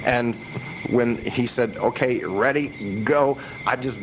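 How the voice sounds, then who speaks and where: A man speaking as a musician in a casual radio interview, telling things in a halting way with pauses and restarts. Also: medium pitch. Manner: halting, pausing, trailing restarts. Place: radio interview with noise behind the voice. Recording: noisy radio aircheck recording.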